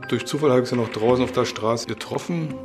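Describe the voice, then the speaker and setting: A man's voice: medium pitch, a little fast. A man, a teacher, recalling events in an interview. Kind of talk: recollection in an interview. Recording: hall reverb, faint music behind.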